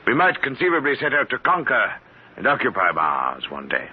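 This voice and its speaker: A prim, pedantic scholar. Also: male voice, mid-range voice, wildly swooping pitch, breathy edge. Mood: earnest. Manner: formal, pedantic, measured. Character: odd, precise scholarly man seeking Martians. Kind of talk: 1950s radio drama acting. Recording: muffled old radio broadcast with hiss.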